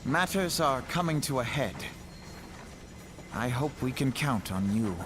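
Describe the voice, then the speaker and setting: An actor playing a solemn, resolute young male scholar-leader in a game cutscene, speaking in a formal, measured way. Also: medium pitch, expressive, close to an everyday voice. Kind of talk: voiced video game cutscene. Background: game music.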